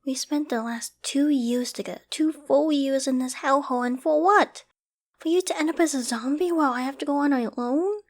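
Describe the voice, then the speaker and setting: Actress as a clingy, high-voiced apocalypse survivor woman. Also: natural voice. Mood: desperate, distraught. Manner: emotional, halting and stammering, often dropping to whispers and sighs. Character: clingy, secretly obsessive young woman surviving a zombie apocalypse. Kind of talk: dramatic ASMR roleplay audio acting.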